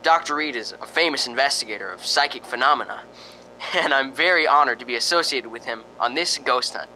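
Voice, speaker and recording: An actor playing a chatty, slightly nervous ghost-hunting radio host in a radio drama, hesitant and conversational. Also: male voice, mid-range voice, a little fast, sharp tone, a little hiss or hum, poor audio. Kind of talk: radio drama acting, on-air commentary.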